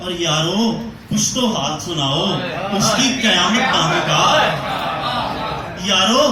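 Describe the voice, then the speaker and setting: A man giving a dramatic Urdu poetry recitation in a theatrical, deliberate way, pausing and repeating lines. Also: high-pitched, lively intonation, a crowd in the background. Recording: echoing hall, distant mic, audience noise.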